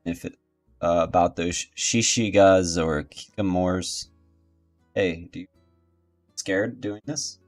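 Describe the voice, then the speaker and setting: Male let's play host: low-pitched, casual, reads along with the game, soft music behind. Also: slow, long pauses. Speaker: gaming YouTuber. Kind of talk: casual let's play commentary, reading game text aloud.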